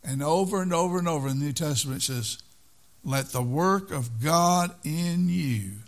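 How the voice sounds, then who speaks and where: Older guy preaching, kinda high-ish voice, earnest and repetitive. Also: moderate pace. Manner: earnest, repeats phrases for emphasis. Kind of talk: sermon.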